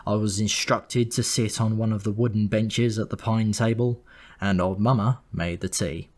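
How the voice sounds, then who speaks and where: Low-voiced man narrating a wry story. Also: steady tone, moderate pace, darkish tone. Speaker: audiobook narrator. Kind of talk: first-person comic storytelling, scripted narration.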